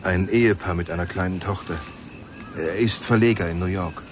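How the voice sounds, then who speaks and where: An actor playing a polite, deferential caretaker of a country house in a German radio play. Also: male voice, deep voice, moderate pace, close to an everyday voice. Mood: polite, placating. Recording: outdoor ambience with clear background noise.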